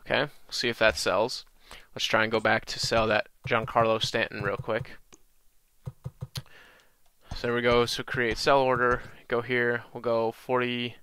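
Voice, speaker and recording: A young man with a slight cold-raspy voice, casually walking through a video game tutorial with lots of ums. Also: mid-range voice, a little slow. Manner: relaxed, many ums and uhs, talks through menus. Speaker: gaming channel host.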